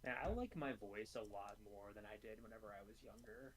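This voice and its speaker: A man's voice: lowish voice, a little fast. A young man chatting casually with a friend while playing a card game, offhand and dry, muttering his moves to himself. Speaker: streamer's friend. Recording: noisy background.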